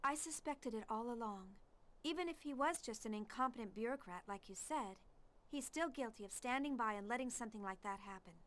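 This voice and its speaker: An actress playing an earnest, intelligent young scientist in an English-dubbed video game, speaking precisely. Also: female voice, on the high side, brisk, fairly bright tone, lightly acted. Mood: resolute, somber.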